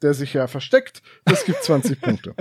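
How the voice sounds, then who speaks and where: A man's voice: on the high side, huge pitch swings, normal speed, husky, dry recording. A man co-hosting a podcast in casual chat, making wry, dry jokes. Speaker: podcast co-host. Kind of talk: casual chat reviewing an audio drama.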